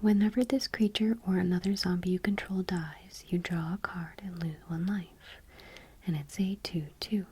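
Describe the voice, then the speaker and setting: A woman reads aloud in an ASMR style, barely above a whisper. Her voice is very breathy and soft, slightly low, with a dark, relaxed tone. The delivery is gentle and unhurried. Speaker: host of an ASMR channel. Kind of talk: ASMR soft-spoken card reading.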